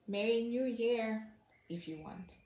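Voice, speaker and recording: A woman's voice: a little high, takes it slowly, a little mellow. A woman from Zimbabwe, hosting a sardonic, scripted satirical commentary. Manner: sardonic, wry. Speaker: host. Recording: echoey room.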